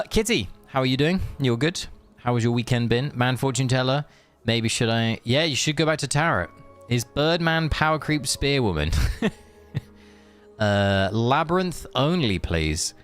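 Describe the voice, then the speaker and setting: A man chatting casually on a game live stream, rambling and answering viewers as he plays. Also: medium pitch. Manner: rambling, chatty, reads chat aloud.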